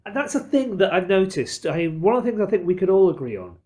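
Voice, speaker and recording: A podcast host in casual discussion, speaking in a measured, carefully qualified, wordy way. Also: medium pitch, sing-song, a little mellow.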